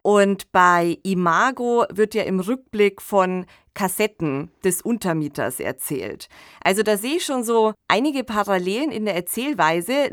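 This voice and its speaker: A woman's voice: mid-range voice, fairly bright tone, no room sound, studio quality. A woman talking as a podcast commentator in a casual, analytical, conversational way.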